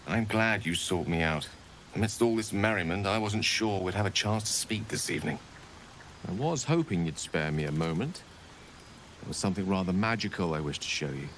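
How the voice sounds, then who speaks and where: A courtly, romantic game wizard. His voice sits fairly low but moves expressively, with formal, seductive eloquence. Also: lively intonation, normal speed, fairly bright tone, slight background noise. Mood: tender, seductive. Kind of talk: video game character acting.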